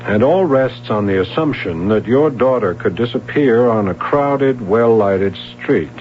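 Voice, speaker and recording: A man's voice: mid-range voice, lively intonation, a little slow, a little hiss or hum, lightly acted. An actor in his thirties playing an earnest, persistent police sergeant in a 1970s radio drama. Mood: accusing. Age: 30s. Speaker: detective. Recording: dull lo-fi old radio broadcast.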